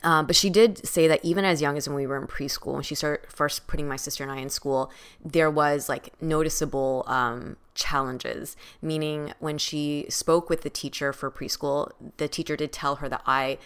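A woman talking softly and reflectively in casual podcast chat, using lots of filler words. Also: deep voice, fairly even intonation, normal speed, clean tone. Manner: reflective, soft-spoken, many "like" fillers. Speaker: podcast co-host.

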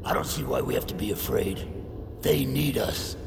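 An actor playing a cowed, weakened henchman in an audio drama, halting and defensive with a gravelly voice. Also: medium pitch, over music. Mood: defiant. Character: cowed, weakened male shadowmancer making excuses to his master. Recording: reverberant hall, noisy background.

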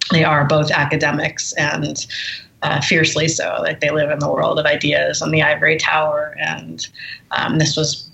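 A woman from the United States talks through her past as a podcast guest. Her voice sits low with a gravelly edge and a bright, sharp tone. She speaks candidly and reflectively, restarting sentences as she thinks. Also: monotone, normal speed, poor audio. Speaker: guest, memoirist and Marine veteran. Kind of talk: podcast interview.

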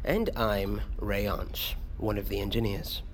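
An actor playing a wisecracking, sardonic ship's engineer, chatting casually in a naturalistic audio drama. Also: male voice, fairly low pitch, measured pace, slight background noise. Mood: wry.

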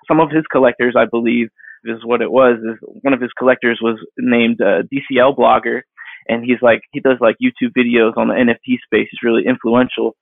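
A man in his thirties, a digital artist, answering interview questions in a rambling, halting way full of fillers and restarts. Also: medium pitch, little pitch movement, vocal fry, dry recording. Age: 30s. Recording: dry tinny phone-like line.

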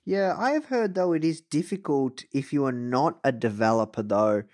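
A man hosting a podcast interview, talking in a relaxed, conversational way with lots of filler phrases. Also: medium pitch, moderate pace, no room sound, clean studio sound. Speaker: podcast host. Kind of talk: casual interview chat.

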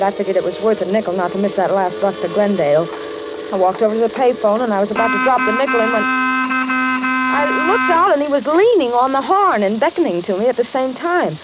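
A woman's voice: on the high side, lightly acted. An actress playing a polite, trusting young American carhop in a 1940s radio suspense play, hesitant and confiding as she grows uneasy. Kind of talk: 1940s radio suspense acting, narrated in first person. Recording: crackly, muffled 1940s radio recording.

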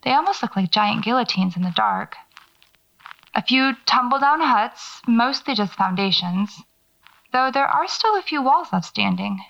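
A muffled, lo-fi tape carries the voice of a woman horror novelist alone at night. Her voice sits slightly high, with a heavy creak in it. She narrates her surroundings to herself in a wry, musing, naturalistic audio-fiction style. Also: animated, a little slow, fairly bright tone, heavily acted. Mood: observant, musing. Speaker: audio drama actor. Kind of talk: naturalistic audio fiction monologue, spoken field notes. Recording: dull lo-fi cassette-style tape.

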